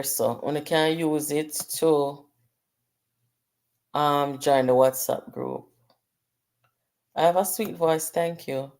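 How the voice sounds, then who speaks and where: A middle-aged woman with a Jamaican accent hosts a casual livestream and talks straight to her viewers. Her voice sits very low for a woman, and she moves easily between patois and English as she reads out comments. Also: a little mellow. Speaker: livestream host.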